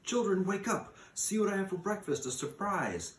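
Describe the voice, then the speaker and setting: A man voicing a caring, brisk mother mouse in a storybook reading. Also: high voice, lively intonation, pitched above the performer's own voice. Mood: cheerful calling. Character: motherly female mouse, cheerful then anxious and firm. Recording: roomy echo, clear background hiss.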